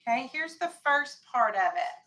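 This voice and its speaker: A woman's voice: sits high, lively intonation, normal speed, sharp tone, poor audio. An American woman teaching a vocabulary lesson to young children in a classroom, instructing and prompting with questions and directions. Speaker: elementary school teacher.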